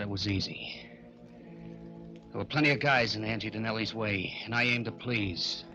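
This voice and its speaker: Casting: brooding American trigger man for vintage radio crime serial, slangy and tough, bright forceful delivery, light music underneath. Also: lowish voice, muffled recording. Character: hard-boiled male gangster trigger man telling his story. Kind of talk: old-time radio crime drama, first-person narration. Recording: old radio broadcast with music behind.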